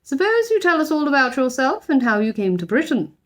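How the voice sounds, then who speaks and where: A woman's voice: high-pitched, very animated, a little fast, a bit bright, voice pushed up. A woman voicing a genial middle-aged father in a storybook, slightly pompous, dry and teasing.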